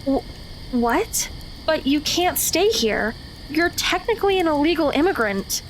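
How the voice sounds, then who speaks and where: A woman's voice: high-pitched, big pitch swings, measured pace, a little hiss or hum, rough recording. A woman acting in an audio drama as an anxious, talkative teenage girl who questions everything and stammers when startled. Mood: puzzled, insistent.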